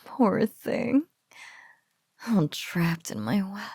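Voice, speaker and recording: A sultry spider-woman played by a woman taunts in an intimate ASMR roleplay. Her delivery is slow and breathy, swinging playfully in pitch and dropping into whispers. It is very dry and close, with a smug, mock-pitying menace. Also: very animated, warm tone, no echo, full character voice. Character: teasing spider-woman bully, sultry, smugly menacing captor.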